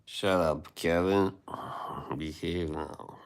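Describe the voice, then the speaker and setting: A man's voice: low-pitched, fairly even intonation, slow, clear, resonant tone. A man with an Eastern European accent hosting a casual livestream, chatting with viewers, laughing often and swearing casually. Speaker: livestream host.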